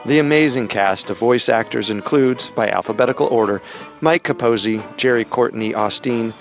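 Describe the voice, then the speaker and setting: A man narrating a scripted true crime podcast in a measured, dramatic way. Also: medium pitch, lo-fi sound, soft background music.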